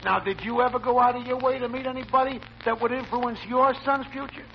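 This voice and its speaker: A man's voice: very high voice, fairly even intonation, fast talker. An actor playing a boastful, needling factory worker and father in a 1940s radio comedy. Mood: boastful, scornful. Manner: mocking, boastful, argumentative. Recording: muffled, hissy vintage radio recording.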